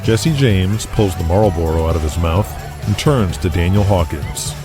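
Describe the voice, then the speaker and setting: A man's voice: deep voice, moderate pace, over music. A man narrating a scripted audio drama as its storyteller.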